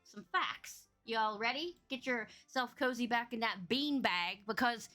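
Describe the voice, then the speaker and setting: A woman's voice: fairly high pitch, unhurried, pushed and bright, soft background music. A woman hosting a casual podcast chat, bubbly and teasing, laughing often. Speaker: podcast host.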